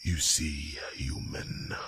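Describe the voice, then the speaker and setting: A man playing a grave, slightly grumpy old dragon in a fantasy roleplay audio drama, speaking slowly and solemnly in a breathy rasp. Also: a bass voice, level tone, full character voice. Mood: reflective storytelling. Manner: slow, halting, solemn delivery. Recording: loud noise bed of night crickets.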